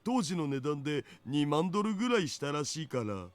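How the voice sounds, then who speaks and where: A man's voice: on the high side, very animated, racing. A man voicing a laid-back, nerdy young otaku hacker in a visual novel, slangy and geeky. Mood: puzzled. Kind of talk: Japanese visual novel voice acting.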